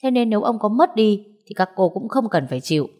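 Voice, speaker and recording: A steady, protective young husband, voiced by a female narrator in an audio story. He speaks in a fairly low, measured way, reassuring and quietly stern. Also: breathy edge.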